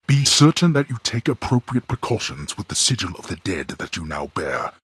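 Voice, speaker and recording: Casting: imperious underworld god-father for a game; very husky, rough voice, grand swings in pitch, curt and formal. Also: no room sound, full character voice. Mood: formal, measured. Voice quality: gravelly, husky bass. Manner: haughty, curt, commanding, weighty formal diction. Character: stern, imperious god-king of the underworld, gruff old father. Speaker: ruler of the underworld. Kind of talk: formal, archaic video game character acting.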